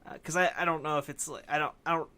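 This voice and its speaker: A man's voice: fairly high pitch, fairly even intonation, bright, forceful tone. A man co-hosting a podcast, discussing films casually and often stopping to correct himself mid-thought. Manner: halting, self-correcting speech. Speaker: podcast co-host.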